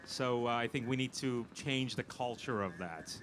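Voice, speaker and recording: A man's voice: mid-range voice, normal speed. Emphatic man on a noisy panel. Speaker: film producer and panel guest. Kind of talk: conversational panel interview answers. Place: fan convention panel. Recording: live event floor with background noise.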